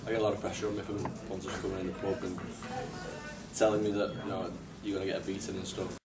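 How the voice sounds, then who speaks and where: A man's voice: sits low, level tone, fast talker, over music. A young man in his twenties, a rugby league winger answering modestly and hesitantly in a post-match press interview. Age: 20s. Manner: modest, hesitant, many fillers. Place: small press room at a stadium. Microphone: far camera mic. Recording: echoey room from far off, noisy.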